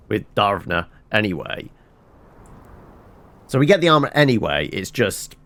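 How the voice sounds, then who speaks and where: A man giving casual let's-play commentary in a wry, informal way with muttered asides. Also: medium pitch. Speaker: gameplay commentator. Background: video game music.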